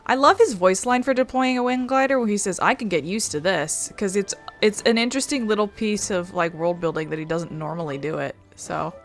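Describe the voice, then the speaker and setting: A woman's voice: medium pitch, brisk, few pauses, faint music behind. A woman giving casual gameplay commentary as a game streamer.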